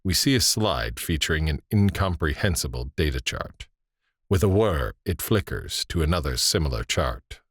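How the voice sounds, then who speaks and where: A man narrating an audio drama in measured, descriptive scripted prose. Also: extremely low pitch, expressive, slightly rough, no room sound, full character voice. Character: male narrator setting scenes, measured and descriptive. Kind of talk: scripted present-tense scene narration.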